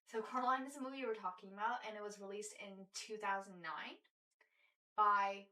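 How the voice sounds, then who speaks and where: A woman's voice: mid-range voice, fairly even intonation, moderate pace, sharp tone. A young woman co-hosting a podcast, chatting casually with many fillers and restarts. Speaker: podcast co-host. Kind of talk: casual chat. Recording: roomy echo, distant mic.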